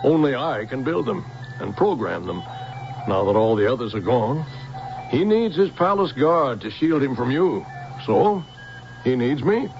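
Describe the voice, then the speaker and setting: Swooping, stubborn old scientist. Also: male voice, mid-range voice, sing-song, gravelly, darkish tone. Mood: stubborn, defiant. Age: older. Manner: halting, wary, defiant. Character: cornered but stubborn old male robot scientist. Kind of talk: 1970s radio drama acting. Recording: muffled vintage radio recording with music.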